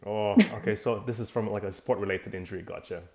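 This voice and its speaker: A man's voice: fairly low pitch, fairly even intonation, quick pace, crowd noise. A man hosting a casual interview, prompting his guest with friendly questions and short acknowledgements. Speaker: podcast host.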